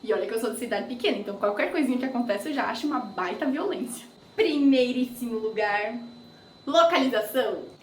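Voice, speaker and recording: A woman's voice: on the high side, lively intonation, a little fast, distant mic, slight background noise. A young woman from Brazil, chatting casually in a travel vlog with jokey asides. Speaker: vlogger. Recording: roomy echo, off-mic.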